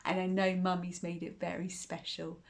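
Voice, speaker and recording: A woman's voice: on the low side, monotone, moderate pace, vocal fry. A woman hosting a children's storytime and reading a bedtime story, exuberant and sing-song as she talks to young listeners, often repeating phrases. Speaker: storytime host.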